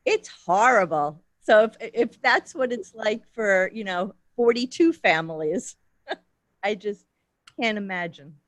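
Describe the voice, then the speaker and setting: A woman chatting casually on a group video call, hesitant with many ums and quick to laugh. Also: medium pitch, animated, no room sound. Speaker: discussion group member. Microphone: computer microphone on a video call.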